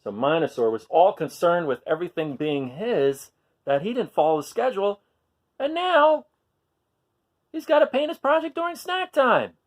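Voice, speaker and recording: A man reads a picture book aloud for young children. His pitch swings up and down a lot, and he breaks into chatty, questioning asides to his listeners in an expressive way. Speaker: children's storytime reader.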